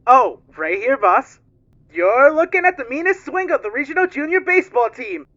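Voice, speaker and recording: A woman voices an upbeat young male rookie from a space game. The delivery is bright, animated and full of easy slang, boyish and naive. The recording is slightly dull. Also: high-pitched, normal speed. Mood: cheeky, eager. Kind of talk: dramatic fan dub of a video game.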